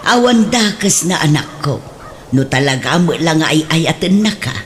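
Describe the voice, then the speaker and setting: A woman's voice: low voice, lively intonation, a little slow, a little hiss or hum. An actress playing a doting, nosy mother of a grown son in an Ilocano comedy radio drama, with a Filipino Ilocano accent. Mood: reassuring, teasing.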